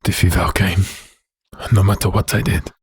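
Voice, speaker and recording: An actor playing a huge, brooding, wounded and menacing werewolf prisoner in an audio drama, speaking in halting, deliberate phrases. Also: male voice, sits low, level tone, breathy edge, no echo, studio quality. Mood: grieving, haunted. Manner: slow, halting, deliberate delivery.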